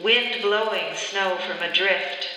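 A man's voice: high voice, takes it slowly, bright, forceful tone. A man performing spoken word in short, evocative fragments. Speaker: amateur drama workshop performer. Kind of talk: collaborative spoken-word piece in short phrases. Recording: very echoey hall, distant microphone.

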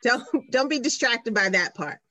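A woman who runs tours, chatting casually on a podcast in a joking, relaxed way. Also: a little high, normal speed. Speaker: tour guide, podcast regular. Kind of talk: casual chat. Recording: dry, slightly dull sound.

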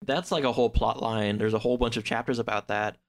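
A man in a casual podcast chat, recapping a story in a halting, rambling way full of filler words. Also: medium pitch, fairly even intonation. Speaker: podcast guest.

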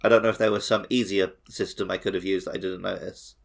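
A man's voice: low voice, little pitch movement, fast talker, creaky. A man co-hosting a gaming podcast, talking casually and conversationally. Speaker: podcast co-host.

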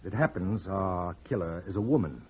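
An American actor playing a cool, sardonic private detective in 1940s radio drama, clipped and wry, with a husky voice. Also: male voice, sits low, lively intonation, fairly quick, darkish tone, slight background noise. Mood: explaining, accusing. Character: cool, sardonic male private detective cornering murder suspects. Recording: dull lo-fi old radio recording.

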